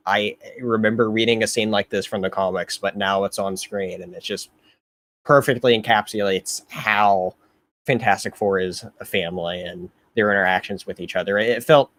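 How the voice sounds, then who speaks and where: Easygoing low-voiced male host. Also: fairly bright tone, dry recording. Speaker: host of a film review show. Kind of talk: casual chat.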